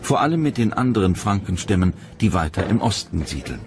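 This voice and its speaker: A man's voice: deep voice, very animated, a little fast. A man reading scripted narration for a history documentary. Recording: music bed behind narration.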